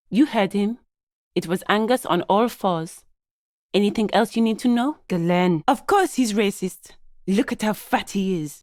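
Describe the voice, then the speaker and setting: A woman's voice: mid-range voice, darkish tone, no echo, studio quality, natural voice. Woman acting stern, clipped lawyer in a game. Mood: dry, exasperated. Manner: formal, clipped, authoritative, lawyerly. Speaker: video game character voice actor. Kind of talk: scripted game dialogue.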